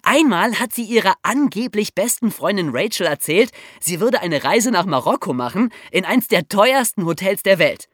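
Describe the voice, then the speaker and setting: A woman with a German accent tells a true-crime story as a podcast host, her pitch swinging widely as she goes. She keeps it casual, with wry asides and quick laughs. The sound is dry and close to the mic. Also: lively intonation, breathy edge, no room sound.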